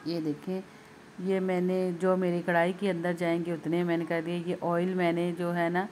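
A woman's voice: fairly low pitch, a little hiss or hum. A woman demonstrating a recipe step by step in her home kitchen, speaking patiently to viewers and repeating her instructions. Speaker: home cooking video host.

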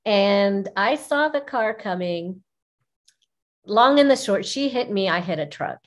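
A middle-aged woman whose first language is Arabic, telling personal stories as an interview guest in an expressive way, repeating phrases for emphasis. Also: medium pitch, unhurried. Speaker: podcast guest, image consultant. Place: small furnished room indoors, video call. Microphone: video call, seated at webcam distance.

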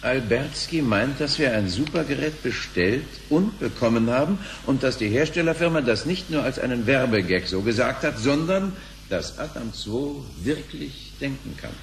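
An actor playing a sober colleague in a radio play, explaining things patiently in a creaky voice. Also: male voice, mid-range voice, expressive, moderate pace, a little hiss or hum. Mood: explanatory. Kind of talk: German radio play acting.

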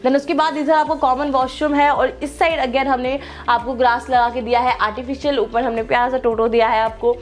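A woman's voice: high voice, steady tone, brisk, hardly any pauses, soft background music. A young woman from India presenting a real estate walkthrough, praising every feature with enthusiastic, salesy gushing. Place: large furnished indoor apartment.

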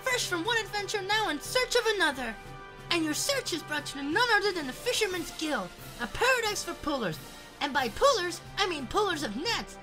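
Tiny, squeaky-high theatrical fisher. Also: female voice, low-quality recording. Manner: grandiloquent, alliterative showmanship. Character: tiny chirpy fantasy fisher folk, theatrical and wordy. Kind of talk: game fan-dub acting.

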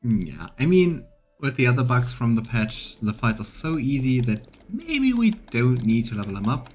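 A man's voice: medium pitch, sing-song, moderate pace, faint music behind. A man in his thirties playing a game on stream, commenting and reading its dialogue aloud.

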